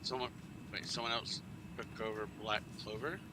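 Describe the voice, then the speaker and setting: A man's voice: mid-range voice, steady tone, normal speed. A man playing a tabletop roleplaying game, speaking informally and bluntly as he narrates his character. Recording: noisy, ambient background noise.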